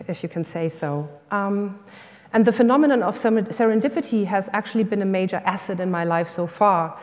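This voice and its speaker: A middle-aged woman with a German accent speaks in English at a conference, eager and full of "um"s and restarts. Her voice sits at an everyday pitch and carries a long reverb, like a hall. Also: a little mellow. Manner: enthusiastic, many fillers and restarts. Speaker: summit speaker, lecturer and coach. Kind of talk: conference talk.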